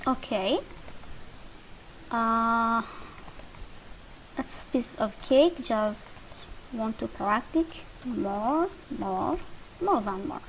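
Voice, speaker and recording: Slow, lively woman teacher. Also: a little high, a little hiss or hum, poor audio. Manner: slow, drawn-out drilling with repetition. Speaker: English teacher. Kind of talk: slow beginner English lesson.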